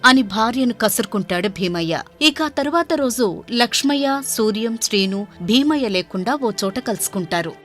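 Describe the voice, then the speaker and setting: A woman's voice: fairly high pitch, expressive, moderate pace, soft background music. A woman reading a scripted moral story as its narrator.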